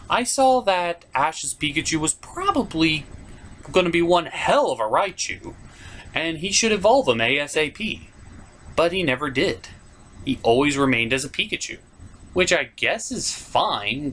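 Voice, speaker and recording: A man's voice: fairly high pitch, a bit bright, slight background noise. A man hosting a top 10 countdown, giving opinionated video game commentary in an informal, emphatic way. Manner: informal, emphatic, with mild swearing.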